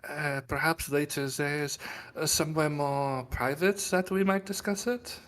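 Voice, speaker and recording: A man voicing a flirtatious, seductive noblewoman in a courtly, halting and coy manner for a tabletop game. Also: a little high, steady tone, a bit bright, pitched above the performer's own voice.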